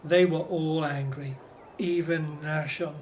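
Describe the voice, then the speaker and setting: A man's voice: fairly high pitch, steady tone, takes it slowly, darkish tone, a little hiss or hum. An actor playing a serious, troubled but reassuring Hebrew man in a religious radio drama. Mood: troubled, weary.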